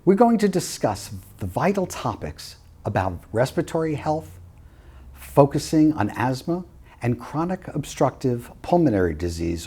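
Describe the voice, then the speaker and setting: A man's voice: medium pitch, warm tone, slightly rough. A man hosting a TV health talk show, asking his guest questions in a friendly, curious, conversational way. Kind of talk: conversational TV interview.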